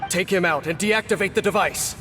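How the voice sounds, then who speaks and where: A man voicing a grave, reserved swordsman captain in a video game, measured and commanding. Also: high-pitched, background noise, lightly acted. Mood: urgent. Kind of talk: video game cutscene voice acting. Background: game score.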